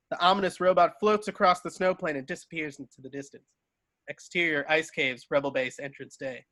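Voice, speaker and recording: Bright higher-voiced man narrating a script. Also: no room sound. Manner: stumbles over words and corrects himself. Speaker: narrator reading stage directions. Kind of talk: scripted narration at an amateur table read.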